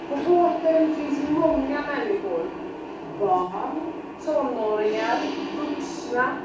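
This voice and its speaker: Slow dramatic storyteller woman, echoey and muffled. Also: a little high, distant mic, very noisy. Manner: slow, deliberate, with dramatic pauses. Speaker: teacher telling a story to a class.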